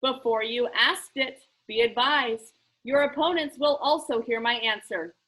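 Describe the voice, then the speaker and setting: A woman's voice: a little high, a little slow, fairly bright tone. A woman voicing an eccentric, playful male game-maker in a storybook, theatrical, grandiose and emphatic. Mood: grandly explaining. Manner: theatrical, emphatic, pronouncing showily.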